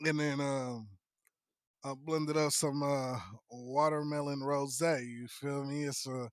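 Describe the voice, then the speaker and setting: A man's voice: mid-range voice, fairly even intonation, slow pace, smooth, no echo, studio quality. A man in his thirties co-hosting a casual podcast, joking and loose. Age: 30s. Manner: joking, loose, laughs often. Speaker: podcast co-host.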